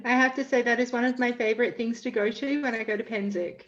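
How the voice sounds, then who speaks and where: Higher woman host, muffled call audio. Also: fairly even intonation, fast talker, a bit bright. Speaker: interview host. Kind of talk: casual interview.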